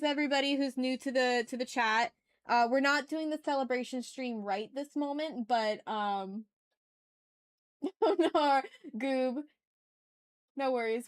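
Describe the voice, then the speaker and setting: A woman's voice: high voice, clean tone, dry recording, studio quality. A woman chatting casually and playfully with her viewers as she hosts a live art stream. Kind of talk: casual livestream chat while drawing.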